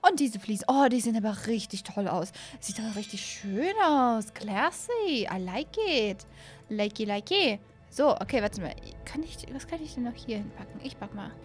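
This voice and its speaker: A young woman commentates a game she is playing in a high, very lively voice. She gushes, exclaims and repeats her phrases, dropping in English catchphrases. She speaks close to a desk mic, with faint music behind her. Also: normal speed. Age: young adult. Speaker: YouTube gaming host. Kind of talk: excited play-along game commentary. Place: small room at home, indoors. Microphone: desk microphone up close.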